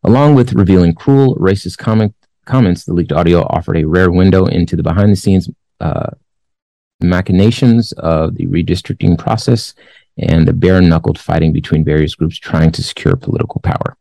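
Deep gravelly man, host in his 40s. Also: mellow, no room sound. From Los Angeles area. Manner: measured, thoughtful, with many pauses and fillers. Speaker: show host. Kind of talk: commentary, reading news aloud then giving opinion.

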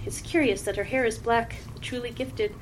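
A woman's voice: a little high, fairly even intonation, slight background noise, voice pushed up, lightly acted, rough recording. Woman reading as refined old mage. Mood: measured, curious. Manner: soft, measured, curious. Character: refined, quiet old man, bearded robed mage.

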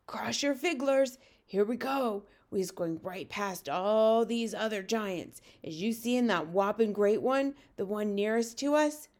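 A woman voicing a gentle, friendly giant man with mangled folksy grammar and an earnest manner, as in a storybook read-aloud. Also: medium pitch, measured pace, hardly any pauses, natural voice. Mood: nervous hushed urgency.

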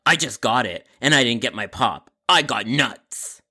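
Irate delivery customer, acted by a man: curt, insistent, gravelly and husky, piercingly tense edge. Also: medium pitch, expressive, unhurried, sharp tone, full character voice. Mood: irritated. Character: grumpy, impatient food delivery customer named Sharon.

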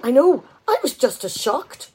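A sarcastic, chatty wife in a radio comedy. Her voice is highish and slightly husky, and it swings widely as she fires off indignant questions in an exasperated way. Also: big pitch swings, unhurried, dark tone, heavily acted. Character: exasperated, sarcastic, talkative wife at a kitchen table. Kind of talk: radio play comedy dialogue.

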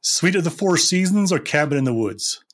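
A man from Scotland, hosting a casual podcast interview with brisk, upbeat questions. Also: a little high, expressive. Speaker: podcast host.

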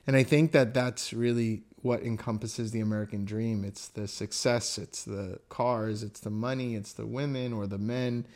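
Young man, podcast co-host, blunt, impassioned, restarts phrases, mid-pitched voice. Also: a little mellow. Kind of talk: casual faith podcast chat.

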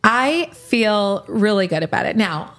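A woman in her late forties, a podcast host, answering rapid-fire questions in a candid, reflective way and laughing easily. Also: medium pitch, sing-song, fairly bright tone. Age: 40s.

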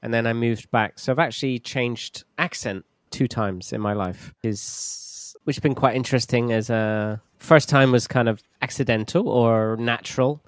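A man with a southern English accent, a guest teacher chatting in a relaxed, slightly halting way. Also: medium pitch, no echo, clean studio sound. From southern England. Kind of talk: casual podcast conversation.

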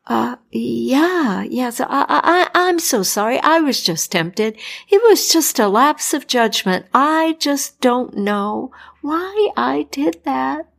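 A woman's voice: high voice, animated, slow pace, creaky, voice pushed up, full character voice. A woman voicing a nervous young male doctor who stammers and apologizes in a raised voice. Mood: flustered.

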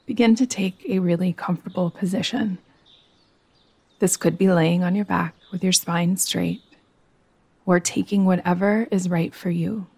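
A woman guiding a yoga nidra meditation in a soothing, gentle, unhurried manner. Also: fairly low pitch, steady tone, gravelly, slightly rough, no echo. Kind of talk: guided meditation.

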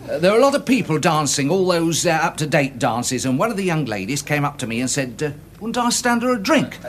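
A man's voice: fairly high pitch, very animated, brisk, slight background noise. An actor playing a respectable, embarrassed little Englishman giving evidence in a radio drama, prim, hesitant and stammering. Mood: sheepish, confessional.

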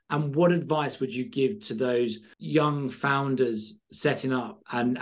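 A male interview host with an ordinary, mid-pitched voice. He builds long, rambling questions, restarting his phrases as he goes. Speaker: podcast host. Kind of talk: podcast interview.

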